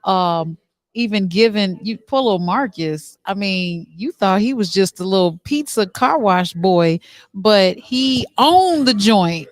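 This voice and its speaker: A woman hosting a backstage interview in an informal, animated and effusive way. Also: mid-range voice, unhurried, no room sound. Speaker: talk show host. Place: on location backstage after a stage play.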